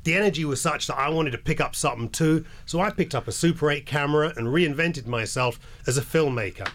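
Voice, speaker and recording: A man's voice: on the high side, fairly quick, a bit bright. A jet-lagged older man from England, telling stories as a radio interview guest with a wry, laid-back manner.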